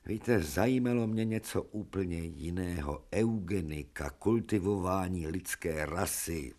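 A man's voice: deep voice, a little mellow. An actor playing an irascible, sarcastic older male professor in a radio drama, lecturing with scorn and emphasis. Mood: musing.

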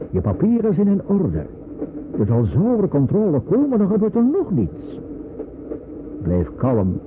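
A muffled, noisy old radio drama recording. a calm wartime resistance escort, steady and reassuring, giving measured instructions in a middle-pitched voice with lively intonation. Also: male voice. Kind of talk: Dutch radio play acting.

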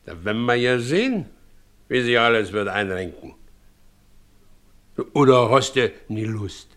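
A man's voice: mid-range voice, expressive, a little slow, a bit bright. An actor playing a plain, conciliatory working countryman in a radio play, colloquial and. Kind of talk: German radio play acting.

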